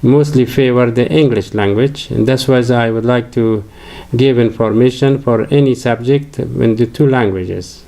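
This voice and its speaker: Slow, gravelly older Afghan man lecturing. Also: medium pitch, dark tone. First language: Farsi. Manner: hesitant, many fillers and restarts. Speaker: religious lecturer. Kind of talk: halting lecture to camera.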